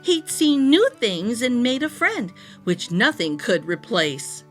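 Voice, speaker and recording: A woman narrates a children's rhyming story with dramatic, deliberate phrasing. Her voice is fairly high and swings widely, at an unhurried pace. Faint music plays behind her. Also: big pitch swings, a bit bright. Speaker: storytime reader. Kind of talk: expressive rhyming picture-book narration for young children.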